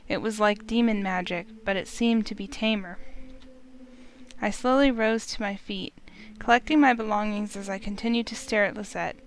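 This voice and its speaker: Smooth-voiced woman reading a story aloud. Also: mid-range voice. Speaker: host reading a game playthrough. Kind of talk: expressive story narration read aloud.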